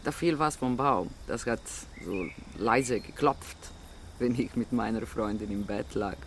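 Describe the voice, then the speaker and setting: Guy playing a dreamy homeless man in a radio drama, kinda croaky, hunts for words, wistful. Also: medium pitch, a little hiss or hum, natural voice. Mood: nostalgic. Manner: halting, gropes for words, dreamy. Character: homeless deported man in Rome, wistful, nostalgic for Germany.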